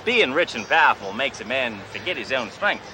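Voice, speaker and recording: A man's voice: medium pitch, big pitch swings, a little fast, background noise, pitched above the performer's own voice, natural voice. A man putting on a swaggering Aussie tycoon. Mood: boastful. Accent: put-on Australian. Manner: swaggering, loud, folksy slang. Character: brash boastful fake Australian tycoon car dealer, adult man. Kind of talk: sitcom acting.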